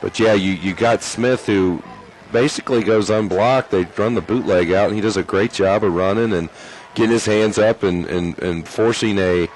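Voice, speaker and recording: A man doing color commentary on a high school football game, chatty with lots of fillers, his voice worn from shouting. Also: moderate pace, a little hiss or hum, rough recording. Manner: chatty, filler-heavy explaining. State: losing his voice. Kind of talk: analytical sports color commentary.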